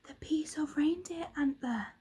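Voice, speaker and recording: Storybook child: woman playing young boy, emotional, urgent, very high-pitched exclamations. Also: a little slow, warm tone. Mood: amazed.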